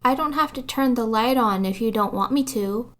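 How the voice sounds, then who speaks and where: A woman's voice: fairly high pitch, moderate pace, fairly bright tone, close to an everyday voice. A woman voicing an earnest, lonely and proud little boy of about six, eager and a bit haughty, in a storybook reading. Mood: friendly, reassuring.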